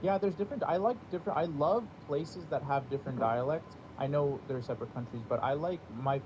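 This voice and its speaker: A man's voice: a little high, a little fast. Goofy rambling man, muffled and noisy. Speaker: podcast co-host. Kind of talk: casual chat. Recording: muffled with loud background noise.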